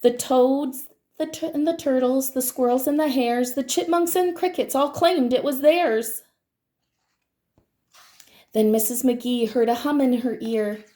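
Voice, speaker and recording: Slow-paced woman reading a children's storybook. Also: high voice. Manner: deliberate, expressive. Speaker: teacher reading aloud. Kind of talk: children's storybook read-aloud.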